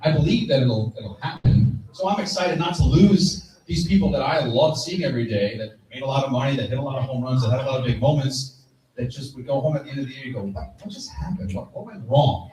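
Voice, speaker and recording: A man with a mellow, soft-edged voice talks on a live sports panel. He is emphatic and likes to repeat phrases for weight. The room is very echoey and he sounds set back from the microphone. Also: mid-range voice, lively intonation, brisk. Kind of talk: lively live panel discussion about baseball. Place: live convention panel stage. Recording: very echoey room, distant from mic.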